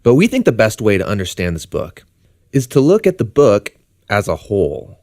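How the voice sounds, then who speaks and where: A man's voice: on the low side, huge pitch swings, moderate pace, darkish tone. A man co-hosting an explainer, narrating in a casual, conversational and plain-spoken way. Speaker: co-host.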